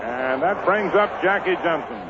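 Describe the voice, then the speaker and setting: A man's voice: on the high side, lively intonation, unhurried, a crowd in the background. An American man calling baseball play-by-play in clipped short phrases, in the style of 1950s radio sports commentary. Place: outdoor ballpark broadcast. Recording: muffled, noisy 1950s radio broadcast.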